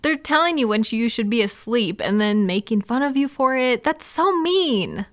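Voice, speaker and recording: A woman playing a supportive, gentle female friend in an intimate, casual comfort roleplay, speaking in a reassuring, validating way. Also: fairly high pitch, animated, normal speed, no room sound, studio quality, lightly acted. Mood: indignant. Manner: reassuring, validating, softly indignant on listener's behalf. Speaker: audio roleplay performer.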